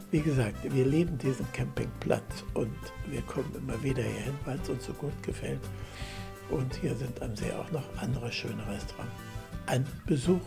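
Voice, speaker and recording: An older man narrates a camping travel vlog in a friendly, chatty way, handing out recommendations. His voice is gravelly and sits at a middle pitch. Music plays clearly beneath him. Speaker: vlog host.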